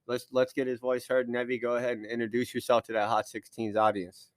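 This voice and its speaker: A man's voice: mid-range voice, completely flat delivery, fairly quick, smooth. Young male podcast host, casual and rambling, studio chat. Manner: laid-back, rambling with fillers. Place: recording studio.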